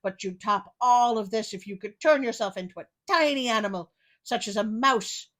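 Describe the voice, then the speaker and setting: A woman voicing a cunning, flattering male storybook cat with theatrical awe. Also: fairly high pitch, normal speed, lightly acted. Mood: feigned amazement.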